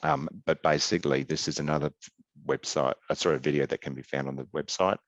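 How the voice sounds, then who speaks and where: Very deep-voiced man, hesitant. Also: fairly even intonation, no echo, lo-fi sound. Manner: halting, many ums and restarts. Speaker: health service presenter. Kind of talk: webinar slide presentation. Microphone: video call webcam.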